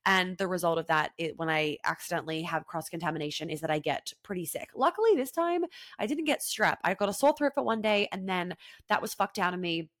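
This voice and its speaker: A young woman hosting her own podcast in casual solo chat, blunt and animated, swearing freely and sounding congested from a head cold. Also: fairly low pitch, brisk, no echo, studio quality. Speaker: podcast host.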